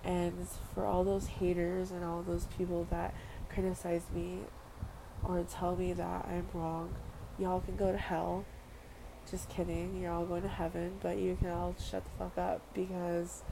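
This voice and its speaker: Young woman from Samoa, earnest vlogger, low and flat delivery. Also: measured pace, creaky. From Western Samoa. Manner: earnest, repeats phrases, casual fillers. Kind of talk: heartfelt personal monologue. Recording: noisy background ambience.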